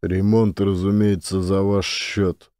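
Man voicing a gruff, low-voiced pushy tough guy. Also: level tone, slow pace, dark tone, no echo, studio quality. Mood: angry, blunt. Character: gruff, blunt wrestler, a pushy man demanding payment.

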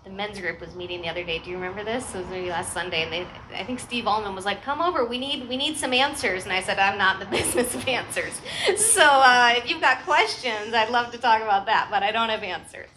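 A woman's voice: medium pitch, fast, hardly any pauses, pushed and bright, rough recording. A middle-aged American woman pastor giving an earnest, conversational sermon with informal asides and rhetorical questions, speaking into a headset microphone. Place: outdoor church service in a garden. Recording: outdoor live recording with ambient noise.

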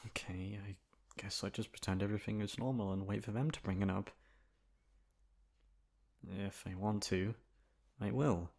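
A man's voice: on the low side, steady tone, gravelly, darkish tone. A man acting in an ASMR roleplay as a flustered, dominant young best friend, hesitant at times and blunt at others. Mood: anxious, self-doubting. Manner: hesitant with pauses, turning bossy and blunt. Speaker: ASMR voice actor.

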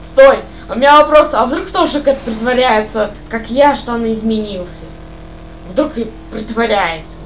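A woman's voice: on the high side, moderate pace, slight background noise, low-quality recording. Rambling young woman gamer chatting. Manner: rambling, asks repeated questions. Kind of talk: casual chat while playing.

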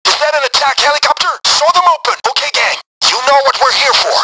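An actor playing a brisk, commanding man who plans heists, giving clipped mission orders over the radio in a video game. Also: extremely high pitch, bright, forceful tone, full character voice, low-quality recording. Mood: urgent, commanding. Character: brisk, commanding male heist planner directing a gang.

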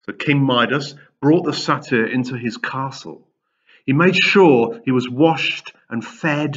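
Male storyteller, 50s, very animated pitch, unhurried delivery, reading for children with dramatic emphasis. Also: husky, highs cut off. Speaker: storyteller reading a Greek myth for pupils. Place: large indoor room with high wooden ceiling.

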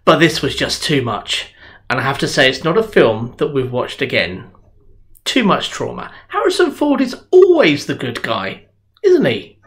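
A man's voice: mid-range voice, a bit bright. A middle-aged man, a minister, giving a sermon in a conversational, earnest storytelling way from his home study into a webcam. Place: home study with bookshelves, indoors. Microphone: webcam at desk.

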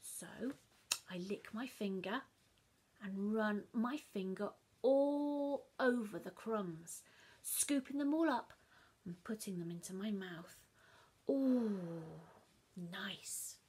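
A middle-aged woman reading a story aloud to children, dramatic and expressive, dropping into hushed whispers, filmed on her sofa in a living room. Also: medium pitch, slow, slightly rough.

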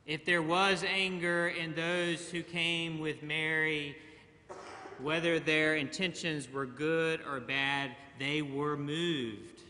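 A middle-aged man preaching a sermon from a church pulpit, slow and measured with long pauses. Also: a little high, steady tone, pushed and bright. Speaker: pastor. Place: large church sanctuary, at a pulpit. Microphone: pulpit mic, far camera. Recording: church hall reverb.

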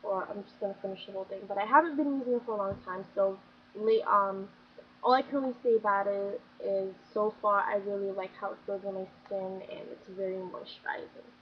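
A woman's voice: mid-range voice, steady tone, a little fast. A young woman chatting casually about her favorite beauty products, gushing and filling with "like" and "um", her voice a little scratchy from getting sick. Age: young adult. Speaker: beauty vlogger. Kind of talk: casual product review chat. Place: small bedroom. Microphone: built-in webcam mic. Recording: dull lo-fi webcam audio with faint room noise.

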